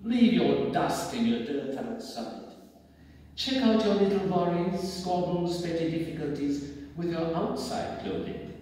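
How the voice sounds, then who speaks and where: A man's voice: a little high, animated, a little slow, mellow. An older man reads a formal speech aloud in a declamatory, theatrical, emphatic way. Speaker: speaker reading a formal message on stage. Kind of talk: formal read speech, oratorical. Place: indoor theatre stage. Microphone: far camera in the audience. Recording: very echoey theatre hall, distant mic, faint noise.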